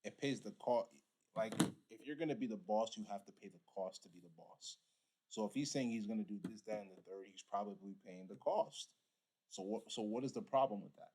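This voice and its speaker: A man's voice: on the low side, a little fast, darkish tone, rough recording. A man whose first language is Igbo, giving casual reaction commentary and lecturing his viewers directly. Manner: preachy, addresses viewers directly. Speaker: reaction streamer.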